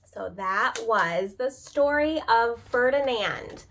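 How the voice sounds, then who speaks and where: A woman's voice: a little high, lively intonation, takes it slowly, a bit bright. A woman reading a children's picture book aloud like a preschool teacher, expressively, with casual, chatty asides and questions to young listeners. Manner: informal, chatty explanations.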